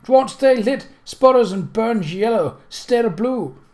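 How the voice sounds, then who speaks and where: A man voicing an indignant Victorian female cook with a hoarse rasp and a servant's rustic turns of speech. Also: very high-pitched, expressive, slow, a little mellow, pitched above the performer's own voice, exaggerated voice. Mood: exasperated. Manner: rustic servant's dropped words and deferential address.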